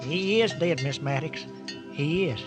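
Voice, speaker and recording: An actor playing an excitable, earnest, folksy simple man in a radio-style audio drama. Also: on the high side, measured pace, over music, close to an everyday voice. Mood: sober, relieved. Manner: folksy, exclamatory.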